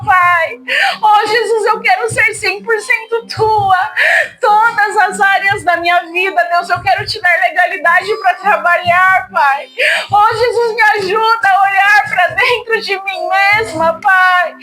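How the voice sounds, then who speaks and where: A woman's voice: very high voice, low-quality recording. A woman leading an emotional spoken prayer, tearful and pleading, her voice breaking as she asks for forgiveness. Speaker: prayer leader. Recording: guitar music behind. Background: soft guitar music.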